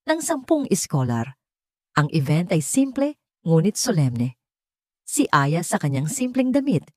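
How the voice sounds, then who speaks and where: A woman's voice: low-pitched, warm tone, no room sound, studio quality. A woman with a Filipino accent reading a scripted dramatic love story as its narrator.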